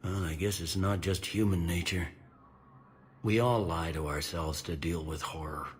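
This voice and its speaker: An actor playing a blunt, weary, middle-aged security captain on a space station in a video game, speaking in a gruff, wry, plain-spoken way and laughing off questions. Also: male voice, low-pitched, fairly even intonation, moderate pace, a little hiss or hum, close to an everyday voice.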